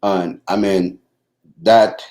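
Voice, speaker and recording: A middle-aged man from the Bahamas with a Bahamian accent, talking casually about family and marriage, pausing and restarting often. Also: low voice, steady tone, a little mellow. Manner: halting, many restarts and fillers. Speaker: husband co-host. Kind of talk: casual conversation.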